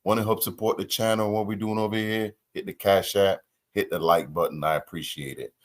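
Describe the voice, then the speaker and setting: A man's voice: on the low side, steady tone, fairly quick. A man hosting a reaction channel, talking casually and musing aloud with rhetorical questions.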